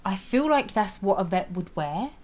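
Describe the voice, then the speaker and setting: A woman streaming a game, chatting casually with viewers and laughing often, her voice slightly raspy. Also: medium pitch, very animated, normal speed, darkish tone. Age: adult with a school-age child. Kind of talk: casual live-stream chat while playing.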